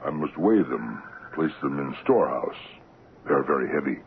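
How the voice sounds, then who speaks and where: An actor playing a deferential, calm African station hand in a 1970s radio drama. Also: male voice, extremely low pitch, moderate pace. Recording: narrow-band, noisy old radio broadcast.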